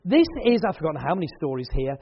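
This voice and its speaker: A man's voice: fairly high pitch, very animated, rapid-fire, highs cut off. A man hosting a church event, chatting and joking with the audience in a hall. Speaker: event host and speaker. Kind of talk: casual chat at a church event.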